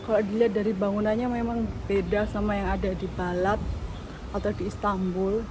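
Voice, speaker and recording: A woman's voice: mid-range voice, level tone, a little fast. A woman with an Indonesian accent, talking casually as a travel vlogger. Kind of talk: casual travel vlog narration. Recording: noisy urban ambience with traffic.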